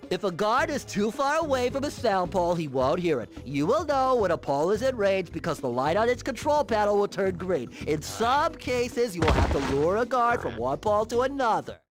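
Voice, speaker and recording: A man's voice: sits high, sing-song, moderate pace, hardly any pauses. A helpful zoo animal in a cartoon game. He explains things step by step with a lot of rise and fall, over light music. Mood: instructive. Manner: instructive, explanatory. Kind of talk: video game cartoon voice acting.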